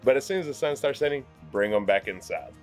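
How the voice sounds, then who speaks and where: A man's voice: mid-range voice, expressive, quick pace, a little mellow, soft background music. Easygoing young man, southern drawl. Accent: Kentucky. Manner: easygoing, plain-spoken, says y'all. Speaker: homestead vlogger. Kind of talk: casual tip-giving chat. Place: home, indoors. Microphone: selfie camera at arm's length.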